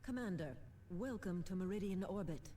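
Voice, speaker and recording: An actress playing a composed, diplomatic government liaison in a science fiction video game, speaking in a formal, measured, coolly official way. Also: female voice, medium pitch, animated, mellow, slight background noise, natural voice. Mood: cool, polite. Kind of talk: formal scripted game dialogue.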